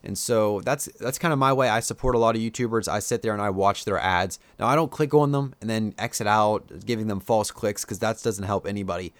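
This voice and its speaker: A man with a Pennsylvania Dutch accent talks to his viewers in a low-pitched voice, rambling loosely with plenty of "um"s and "like"s. He shares strong opinions in a relaxed, off-the-cuff way. The sound is close and dry, with no room around it. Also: brisk, hardly any pauses, dry recording. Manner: rambling, filler-heavy, opinionated. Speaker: YouTuber. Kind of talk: casual live-stream commentary.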